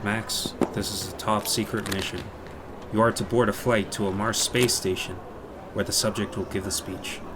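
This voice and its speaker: A man's voice: on the low side, steady tone, moderate pace, natural voice. A man playing a covert handler, terse and conspiratorial, giving secret orders in an audio drama. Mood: secretive, commanding. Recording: clear background noise.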